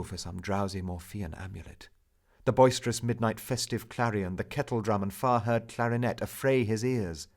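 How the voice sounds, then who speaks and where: A man reciting a romantic narrative poem as its narrator, in a solemn, theatrical, measured manner. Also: fairly low pitch.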